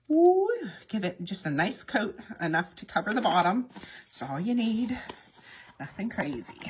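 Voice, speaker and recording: A woman's voice: fairly low pitch, a little hiss or hum. A woman presenting a children's lesson in a sing-song way, speaking directly to young viewers and prompting them as she goes. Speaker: children's storytime presenter at a library. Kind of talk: children's lesson with repetition and demonstration.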